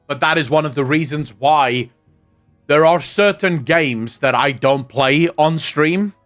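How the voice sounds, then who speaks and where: Cursing South African streamer, slightly high voice. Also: male voice, unhurried, a bit bright, faint music behind. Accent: South African, Afrikaans. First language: Afrikaans. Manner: profane, ranting and joking. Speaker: live streamer. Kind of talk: casual live-stream chat, answering chat.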